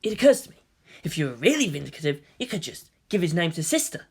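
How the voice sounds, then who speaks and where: A woman voicing a wry male security agent with a measured, dryly suggestive manner in a story reading. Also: low-pitched, big pitch swings, husky, heavily acted.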